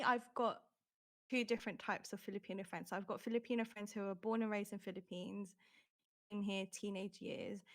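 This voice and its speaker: Earnest woman co-hosting a chat podcast. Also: medium pitch. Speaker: podcast co-host. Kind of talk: casual panel chat.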